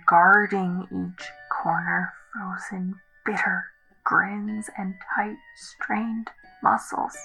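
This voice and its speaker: A woman playing an eerie, entranced young woman, narrating in a hushed, breathy, deliberate way in an audio drama. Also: medium pitch, full character voice, low-quality recording. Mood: eerie, mesmerized. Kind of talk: found-footage audio drama monologue.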